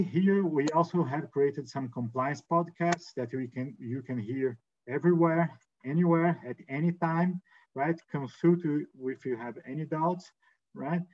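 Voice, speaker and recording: A man walks through his work on a webinar panel. He speaks earnestly, restarts words and keeps checking in with "right?". The video call audio is dull and lo-fi. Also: a little high. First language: Portuguese. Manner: earnest, repeats words, many "right?" tags. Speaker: legal and compliance counsel.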